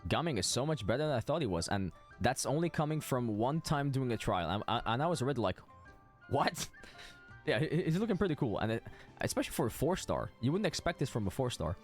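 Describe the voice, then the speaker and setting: A man's voice: mid-range voice, sing-song, a little fast. A man streaming a game with casual commentary and chat, often stammering and repeating words. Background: game music.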